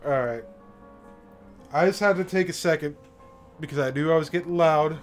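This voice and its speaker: A man with a somewhat raised pitch delivers a passionate, emphatic monologue on a late-night stream. He often stops to check in with a pointed "okay?". Soft music plays faintly in the background. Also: normal speed. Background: faint classical music.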